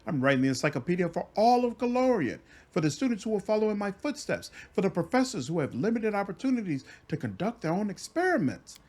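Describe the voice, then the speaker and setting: A man voicing a fussy, earnest woman scholar in a high, indignant voice. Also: big pitch swings, fast, few pauses, a little mellow.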